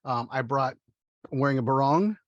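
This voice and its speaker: A man introducing himself on a video call in a relaxed way, with many fillers. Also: mid-range voice, normal speed, no echo, lo-fi sound. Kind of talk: casual video call chat.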